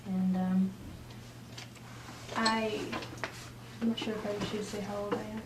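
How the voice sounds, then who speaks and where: A woman's voice: medium pitch, little pitch movement, a little slow, background noise. A woman in her twenties, a student facilitator, introducing herself bashfully with giggles. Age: 20s. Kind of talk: casual self-introduction. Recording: echoey room, distant mic.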